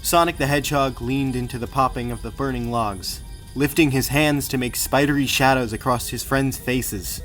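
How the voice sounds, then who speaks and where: A man's voice: mid-range voice, fairly even intonation, normal speed, fairly bright tone, faint music behind. A man narrating an audiobook in a scripted, dramatic storytelling style.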